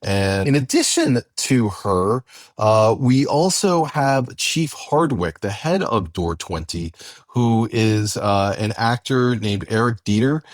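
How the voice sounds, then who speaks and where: A man's voice: on the low side, dry recording, studio quality. A man co-hosting a podcast, chatting casually and conversationally as he introduces an audio drama. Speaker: podcast co-host.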